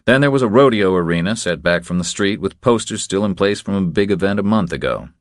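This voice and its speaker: A man's voice: low voice, animated, quick pace, dry recording. A man reading scripted crime fiction as an audiobook narrator, close on the microphone.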